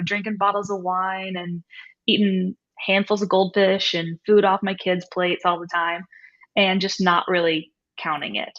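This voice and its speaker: A woman's voice: on the low side, fairly bright tone. A woman in her thirties or forties answering interview questions conversationally as a coach, explaining things and often checking in with "right?" and "you know". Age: 30s to 40s. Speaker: nutrition coach and guest. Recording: dull, lo-fi audio.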